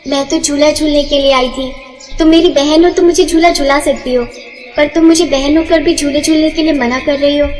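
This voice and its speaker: A woman's voice: high voice, fairly even intonation, normal speed, soft background music, voice pushed up, close to an everyday voice. A woman voicing a sad, timid, innocent blind village girl, plaintive and hesitant, in a moral story. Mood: hurt.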